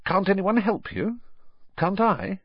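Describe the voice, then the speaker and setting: A narrator voicing a polite, nervous, well-meaning young boy wizard in a storybook, with a hesitant, soft-spoken manner. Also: mid-range voice, takes it slowly, breathy edge, dry recording, muffled recording, pitched above the performer's own voice. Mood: concerned.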